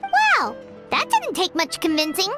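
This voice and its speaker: A tiny, bubbly female companion squeals with excitement in a very high, wildly bouncing voice. Game music plays clearly beneath her. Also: a little slow, full character voice. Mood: excited. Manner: bubbly, loud, talks of herself by name. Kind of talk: video game voice acting. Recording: game dialogue over music.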